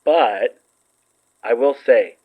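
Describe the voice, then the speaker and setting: A man's voice: mid-range voice, drawn-out words, slightly rough, dull audio, exaggerated voice. An actor playing an affectionate, teasing young man in an audio drama, playful and reassuring. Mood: playful, candid. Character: Murphy, teasing, affectionate, open-hearted young friend and ex. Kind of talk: naturalistic audio drama acting.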